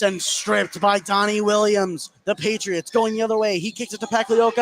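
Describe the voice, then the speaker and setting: High-voiced man calling basketball play-by-play. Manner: present-tense running call, voice rising on big plays. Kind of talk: live radio sports commentary. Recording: clear background noise, live gym ambience. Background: ambient noise of a basketball game.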